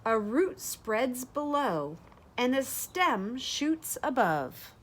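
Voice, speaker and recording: Slow, lively woman reading to children. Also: on the high side. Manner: deliberate, expressive phrasing for children. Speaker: educator reading a picture book aloud. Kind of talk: children's read-aloud of rhyming verse. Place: bright sunroom with large windows, indoors.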